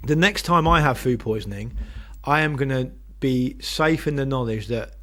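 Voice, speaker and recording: Podcast co-host, male, mid-pitched, dry teasing manner, voice starting to go. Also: normal speed, pushed and bright. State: losing his voice. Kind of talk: casual chat, reading a listener email.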